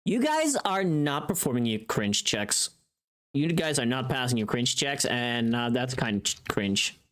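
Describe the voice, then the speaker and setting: A woman with a low voice that swings widely in pitch. She chats casually as a livestream host, playfully teasing her viewers and swearing freely, with expressive bursts of mock outrage. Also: unhurried.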